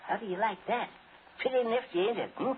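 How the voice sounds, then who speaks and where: An actor playing a polite, eerie old casket salesman in old-time radio horror, halting, folksy and given to chuckling. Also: high-pitched, lightly acted. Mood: folksy, insistent. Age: older. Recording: tinny, noisy old radio broadcast recording.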